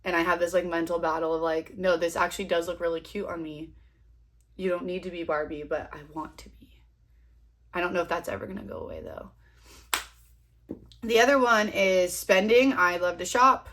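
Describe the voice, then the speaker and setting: A woman's voice: on the low side, moderate pace, pauses often, fairly bright tone. A young woman in her twenties talks to her camera in a loose, rambling way, with a bit of self-deprecating humor. She is fighting a cold, so she sounds a little sniffly. She chats at home while she does her makeup. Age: 20s. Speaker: vlogger. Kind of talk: casual chat to camera.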